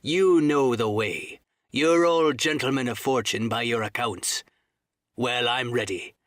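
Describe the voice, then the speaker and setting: A man voicing a cunning, commanding old pirate sea cook who drawls threats in rough seafaring dialect, as in an audiobook. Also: mid-range voice, lively intonation, unhurried, pushed and bright, no echo, studio quality. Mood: roaring defiance. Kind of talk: dramatised audiobook reading.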